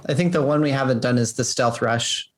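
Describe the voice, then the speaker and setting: A man's voice: medium pitch, fairly quick. A man speaking casually as a game developer being interviewed.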